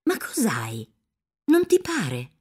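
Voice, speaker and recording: A woman's voice: medium pitch, wildly swooping pitch, slow pace, a little mellow, husky, full character voice. A woman reading a fussing older peasant mother. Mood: worried. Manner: earnest, fussing, talkative. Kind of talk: audiobook character reading.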